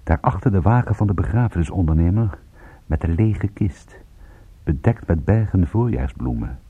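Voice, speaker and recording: An actor playing a world-weary, sardonic private detective with a husky voice in a radio play. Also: male voice, sits low, expressive, moderate pace, phone-quality sound. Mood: brooding narration. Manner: wry, sardonic, laconic. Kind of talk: radio drama acting with first-person narration.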